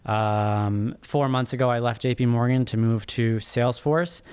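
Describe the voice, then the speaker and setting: A man in his thirties telling his own story as an interview guest, earnest and measured, halting between phrases. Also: medium pitch, fairly even intonation, a little mellow, clear, resonant tone. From born in Egypt, immigrated as a small child. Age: 30s. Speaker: podcast guest, first-time author. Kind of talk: interview answers, personal storytelling.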